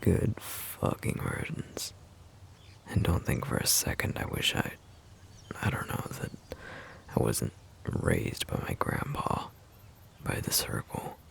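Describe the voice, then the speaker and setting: A man acting as a shy, sweet wolf-shifter boyfriend in an ASMR audio roleplay. His voice is extremely low and full of crackling fry, often slipping toward a whisper. He talks slowly and hesitantly, in a subdued, tender way. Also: huge pitch swings, exaggerated voice. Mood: subdued, wry. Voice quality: very deep, breathy, gravelly murmur. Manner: hesitant, halting, soft-spoken.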